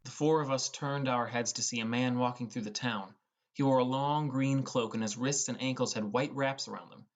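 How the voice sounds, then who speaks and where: A man narrates a first-person audiobook story. His voice sits at a middle pitch, delivering scripted prose. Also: normal speed.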